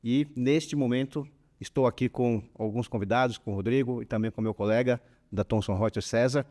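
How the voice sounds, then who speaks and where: A Brazilian man hosting a corporate talk, speaking formally and measured with hesitant fillers. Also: mid-range voice, fairly even intonation, darkish tone. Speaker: host, company product director.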